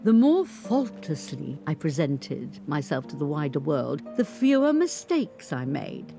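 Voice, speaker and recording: An eighty-five-year-old woman, a dignified survivor recounting her married life. Her voice sits on the low side and moves with lively, expressive inflection. She speaks in measured, articulate sentences as in an audio drama monologue, over instrumental guitar music. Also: vocal fry, heavily acted. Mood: wistful, resolute. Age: older. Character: 85-year-old grandmother, abused former footballer's wife, dignified survivor. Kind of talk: dramatic first-person monologue, scripted storytelling. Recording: studio recording with guitar music underneath.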